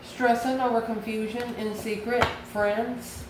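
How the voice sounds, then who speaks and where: A woman's voice: fairly high pitch, unhurried. A woman giving an informal tarot reading straight to the viewer, musing aloud and answering her own questions. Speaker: tarot reader and channel host. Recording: echoey room with steady background noise.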